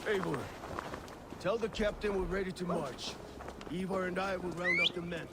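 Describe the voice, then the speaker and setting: Gravelly commanding Viking leader. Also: male voice, high-pitched, fairly even intonation, normal speed, heavy background noise. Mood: rousing command. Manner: terse, commanding. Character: commanding Viking warrior leader, brisk and blunt.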